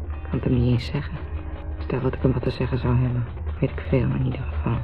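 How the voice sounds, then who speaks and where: Sardonic wife with a creaky voice. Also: female voice, extremely low pitch, monotone, fast talker. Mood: mocking, teasing. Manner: sardonic, theatrical monologue with whispered asides. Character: mocking, bitter, lonely wife musing about her husband. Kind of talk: radio drama monologue. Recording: thin, phone-like 1970s radio recording with music.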